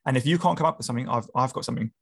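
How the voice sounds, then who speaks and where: A man's voice: mid-range voice, very fast, gravelly. Brief: a male podcast host with a friendly manner who restarts words often. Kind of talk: casual interview.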